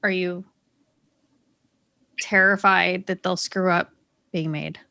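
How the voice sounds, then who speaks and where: A woman's voice: fairly low pitch, fairly even intonation, takes it slowly, creaky, sharp tone, smooth. A woman hosting a casual gaming podcast, quizzing her co-hosts and laughing often. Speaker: podcast host. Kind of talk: casual chat.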